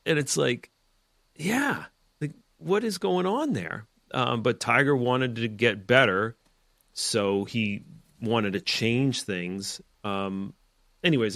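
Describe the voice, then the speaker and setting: A man's voice: on the low side, creaky, a bit bright, dry recording. A man hosting a real estate podcast, giving emphatic, opinionated advice in a rambling, casual way with side notes. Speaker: real estate team leader and podcast host.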